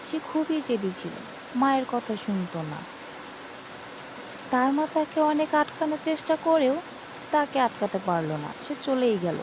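A woman's voice: sits high, very animated, normal speed, long pauses, noisy background. A woman narrating a scripted cartoon cautionary story, speaking to a child in an admonishing way. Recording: rain sounds over voice.

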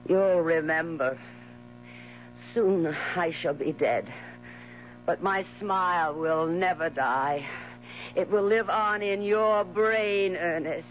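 An actress in a 1970s radio drama playing a bitter, mocking dying wife with scornful, drawn-out theatrical phrasing. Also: female voice, lowish voice. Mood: menacing, taunting. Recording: muffled 1970s radio recording with hum.

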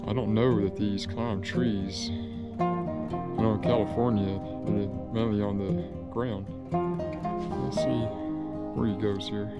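A man's voice: fairly low pitch, steady tone, unhurried, pauses often. A man in his thirties with a Southern American accent, chatting casually at an outdoor overlook while filming himself at arm's length. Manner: friendly, chatty, talks to strangers and animals. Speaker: vlogger. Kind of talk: casual travel vlog. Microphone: camera held at arm's length. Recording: background guitar music.

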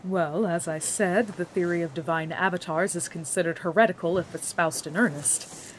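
An actress playing an earnest young scholar, speaking in formal, precise, methodical phrases for a fantasy audio drama. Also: female voice, on the low side, steady tone, normal speed, slight background noise, lightly acted, low-quality recording. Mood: earnest, wistful. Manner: formal, precise, bookish wording.

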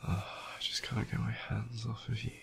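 A tired young man with a croaky voice, softly whispering an affectionate boyfriend roleplay close to the mic. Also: mid-range voice. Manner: soft, affectionate whispering with quiet laughs. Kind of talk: ASMR roleplay.

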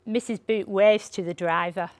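An older woman reading a children's bedtime story aloud, with expressive delivery for young listeners. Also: mid-range voice, moderate pace, no room sound. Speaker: library storyteller. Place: indoor set with a sofa. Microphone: lapel mic.

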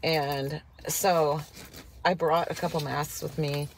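A woman in her fifties rambles cheerfully to a dash-mounted phone while driving, laughing between asides. Her voice sits a little low and sounds slightly stuffy from a cold. Steady car and road noise runs clearly underneath. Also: takes it slowly, poor audio. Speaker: vlogger. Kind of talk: casual chatty vlog. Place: inside a parked and moving car. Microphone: phone camera on the dashboard. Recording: car noise audible behind voice.

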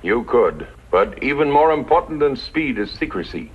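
A man playing a grave army commander in a 1950s radio western, speaking in a deliberate, formal way. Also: medium pitch, sing-song, husky. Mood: grave, commanding. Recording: dull, muffled 1950s radio recording.

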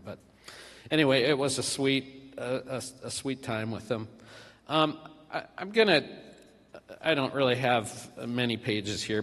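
A middle-aged man giving a eulogy for his father at a church podium, speaking haltingly with many fillers and restarts. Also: lowish voice, fairly bright tone. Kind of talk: memorial eulogy. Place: large church sanctuary. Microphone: podium microphone. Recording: reverberant church hall.

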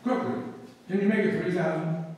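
An actor playing a fast-talking, wisecracking male shyster lawyer in a live stage recreation of a 1930s radio comedy. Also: high-pitched, a little mellow, close to an everyday voice. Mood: scheming. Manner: rapid, wisecracking patter. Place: small auditorium stage with audience. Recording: echoey hall, distant mic.

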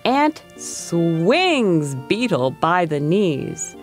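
A middle-aged woman reading a children's story aloud in an expressive, deliberate storytime style. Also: lowish voice, soft background music.

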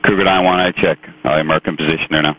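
A man with a husky voice giving procedural air traffic control instructions over the radio. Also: a bass voice, fairly even intonation, fast talker.

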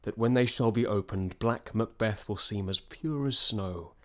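An actor playing a brooding exiled prince with measured oratory in classical radio drama. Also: male voice, fairly low pitch, natural voice. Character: Malcolm, brooding exiled prince rallying men to revenge.

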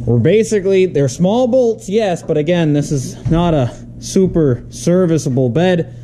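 A man calmly explaining each step of his workshop build, filmed on an action camera in a large garage. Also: on the high side, a little slow, slight background noise. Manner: relaxed, explains steps as he goes. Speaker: garage builder showing a project. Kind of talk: casual how-to workshop vlog. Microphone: handheld or body-worn action camera.

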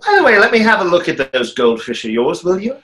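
A man's voice: high voice, huge pitch swings, fast talker, a bit bright, heavily acted. A man in his thirties in a play reading, playing a suave conjurer with a dry, politely mocking manner. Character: suave, wry stage conjurer trading polite barbs. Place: small room, video call.

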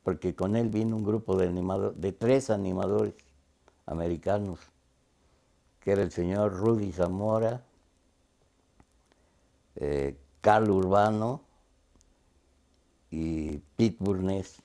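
A man's voice: sits low, takes it slowly, gravelly, long pauses, dark tone. An older man, seated indoors with a lapel mic, reminiscing for a documentary.

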